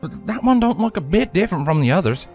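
A man's voice: sits high, sing-song, fairly quick, a little mellow, faint music behind, voice pushed up. A man voicing a blunt young female farm pony who talks with folksy country grammar. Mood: angry.

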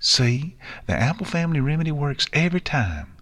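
A man's voice: fairly high pitch, big pitch swings, brisk, a bit bright, voice pushed up. A man voicing a confident, kindly young farm pony filly with a folksy, reassuring way of talking. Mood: cheerful.

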